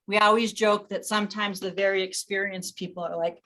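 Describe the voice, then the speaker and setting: A woman's voice: mid-range voice, steady tone, fairly quick, a bit bright. A woman leading an informal online meeting, chatty and often interrupting herself as she briefs the group. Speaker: meeting leader and organizer.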